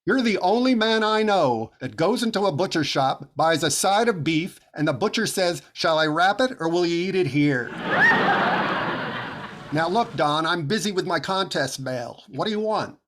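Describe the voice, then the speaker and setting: A man acting as a vain, put-upon comedian in an old radio show, high for a man and lively in pitch. His retorts are fussy, drawn out and exasperated. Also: normal speed, very noisy.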